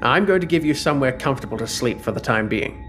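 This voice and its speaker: An imperious, secretive ruler figure played by a man in sci-fi audio fiction. He commands with haughty control in a mid-pitched voice, with faint music behind him. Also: animated, fast, fairly bright tone, natural voice. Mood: coolly dismissive. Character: imperious, secretive armour-suited man of power. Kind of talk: audio drama acting.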